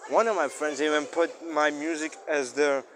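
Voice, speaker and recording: Halting, rambling man over faint background noise. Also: fairly high pitch, fairly even intonation, fairly bright tone. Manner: halting, searching for words, with emphatic exclamations. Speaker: musician recording a personal audio log. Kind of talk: casual rambling spoken-thoughts monologue.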